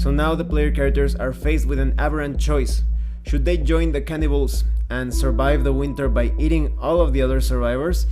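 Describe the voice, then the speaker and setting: A man speaks as a reviewer hosting his own channel. His delivery is measured and explanatory, laying out points in semi-scripted commentary with emphatic wording. His voice sits at a middle pitch. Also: warm tone. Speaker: tabletop game reviewer and channel host.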